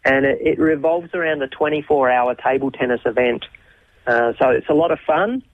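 A man's voice: mid-range voice, moderate pace, a little hiss or hum. Australian man on a tinny phone line. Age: 40s. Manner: thoughtful, many ums and restarts. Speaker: interview guest, youth minister. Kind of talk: casual radio interview.

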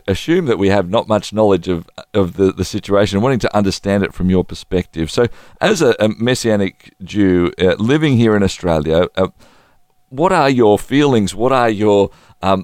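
A male radio host speaks in a friendly but halting way and often restarts his sentences. His voice sits low. The studio sound is close and dry. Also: a little mellow, no room sound. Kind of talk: radio interview.

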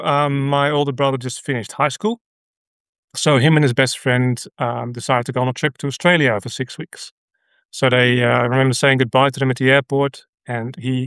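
A middle-aged man from the Netherlands with a Dutch accent, a radio guest telling a personal story in an earnest, halting way with many restarts. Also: medium pitch, a bit bright, no room sound. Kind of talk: conversational interview.

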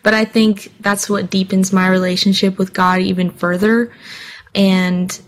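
A woman's voice: mid-range voice, flat delivery, unhurried. Earnest young woman from Hawaii. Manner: candid, earnest, many fillers like um and like. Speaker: singer guest. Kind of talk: personal interview answers.

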